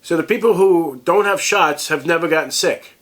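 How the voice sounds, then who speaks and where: A sick, congested man rambling through a casual vlog as a tech review host, halting and restarting often. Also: a little high, a bit bright.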